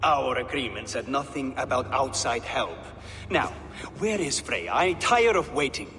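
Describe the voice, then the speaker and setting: Boastful builder in a fantasy game, really animated, sharp voice, hall echo. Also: male voice, mid-range voice, unhurried, a little hiss or hum, heavily acted. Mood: smug, impatient. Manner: pompous, insistent, formal. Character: boastful, eager male builder demanding his bride. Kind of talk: video game cutscene acting. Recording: reverberant hall with music behind.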